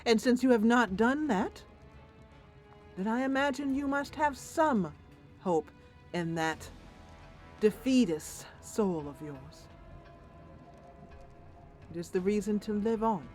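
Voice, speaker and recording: A woman's voice: mid-range voice, slow pace, pauses often, darkish tone, faint music behind, natural voice. A woman voicing a curious, hopeful female adventurer in a fantasy roleplay, thoughtful and earnest. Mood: earnest, encouraging.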